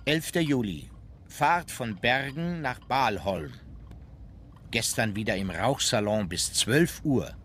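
An actor playing a wry member of an imperial travelling party, narrating memoirs in a radio drama. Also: male voice, medium pitch, lively intonation, slow, a bit bright, a little hiss or hum. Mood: dry recollection.

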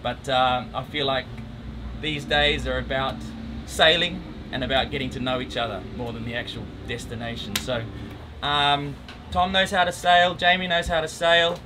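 A man's voice: mid-range voice, moderate pace, background noise. A man in his thirties hosting a sailing trip, talking casually with fillers on a catamaran deck. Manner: relaxed, hesitant with fillers. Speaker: boat captain and channel host. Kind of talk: casual group briefing.

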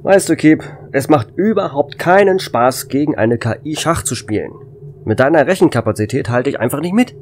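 A man's voice: on the high side, animated, moderate pace, slight background noise, lightly acted. A man playing a tense space station operator in an amateur German sci-fi audio drama. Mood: playful frustration.

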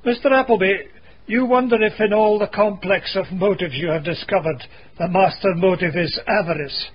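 An actor playing a grave, stern Scottish minister in a radio mystery, speaking solemnly and deliberately. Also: male voice, sits high, husky. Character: grave elderly Scottish minister, self-deprecating and morally stern. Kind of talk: dramatic radio acting. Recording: dull, faintly noisy radio recording.

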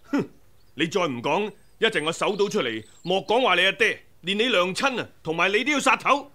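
An actor playing a cunning imperial official who threatens calmly, in a radio drama. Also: male voice, high-pitched, fairly quick. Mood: menacing. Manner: smooth, threatening. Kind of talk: 1990s radio drama acting.

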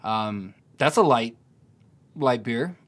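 A man's voice: mid-range voice, lively intonation, takes it slowly, a bit bright. A man in his thirties hosting a podcast, chatting casually in a laid-back way with lots of "dude". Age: 30s. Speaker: podcast host. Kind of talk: casual chat.

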